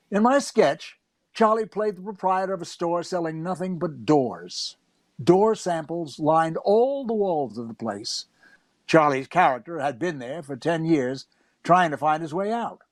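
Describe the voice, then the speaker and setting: A man's voice: fairly high pitch, dry recording, close to an everyday voice. An actor playing a wry comedy writer, narrating his memories conversationally in a scripted radio play.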